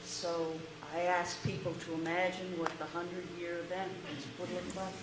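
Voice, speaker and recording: A woman speaking at a public meeting about a past flood project, in a measured way. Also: on the low side, level tone. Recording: echoey hall, noisy.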